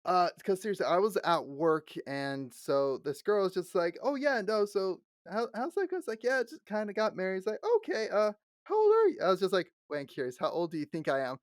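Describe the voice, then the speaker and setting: A man's voice: high-pitched, sing-song, fairly quick, no echo. Late 20s guy cohosting a podcast, laughs a bunch, does little voices for quotes, dead dry mic. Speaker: podcast co-host. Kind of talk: casual chat.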